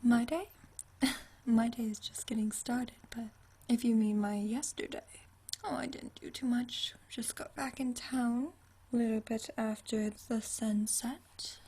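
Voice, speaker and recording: A woman playing an ageless, genteel female vampire in an ASMR roleplay, soft and breathy, teasing her friend with languid, dry wit. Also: fairly high pitch, steady tone, unhurried. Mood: languid, amused. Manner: teasing, dryly witty, languid, genteel turns of phrase. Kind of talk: ASMR roleplay, intimate one-sided conversation.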